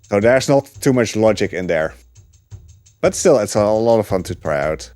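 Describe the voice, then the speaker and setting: Low-voiced man casually commentating a game run. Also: normal speed, soft background music. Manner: relaxed, rambling, many filler ums and uhs. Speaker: speedrunner commentating his run.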